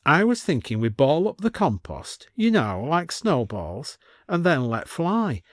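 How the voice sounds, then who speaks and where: A man's voice: a little high, very animated, a little slow, dry recording, clean studio sound. A man voicing a bossy, take-charge woman leading her friends in an audiobook story. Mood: sheepish improvising. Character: bossy, impatient woman leading a ragtag band.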